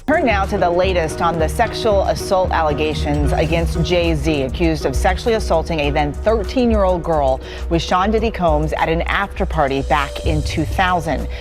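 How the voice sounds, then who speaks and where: Low-pitched woman news anchor. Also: moderate pace, few pauses, music in the background. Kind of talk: broadcast news reading.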